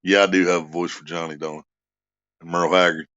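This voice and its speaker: A man's voice: low voice, little pitch movement, fast, dry recording, highs cut off. A man, chatting crudely at home and joking around. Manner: crude joking, bursts into loud off-key singing. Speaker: vlogger. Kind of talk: casual chat at home.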